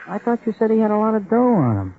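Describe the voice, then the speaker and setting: A man's voice: sits high, big pitch swings, very fast. Glib small-time male crook, slangy and dismissive, swinging intonation, muffled old radio. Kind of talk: 1940s radio crime drama acting. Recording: noisy muffled vintage radio recording.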